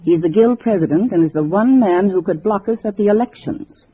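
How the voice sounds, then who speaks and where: An actress playing a calculating communist cell leader who gives businesslike orders in 1950s radio drama style. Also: female voice, mid-range voice, fairly quick, husky, natural voice. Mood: firm warning. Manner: businesslike, instructing. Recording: dull vintage radio recording.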